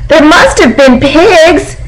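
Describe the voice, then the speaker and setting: Woman voicing a high, insistent little girl piglet. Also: lively intonation, slow, poor audio. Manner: insistent, pleading. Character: small, stubborn, plaintive young female piglet. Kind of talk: storybook character reading.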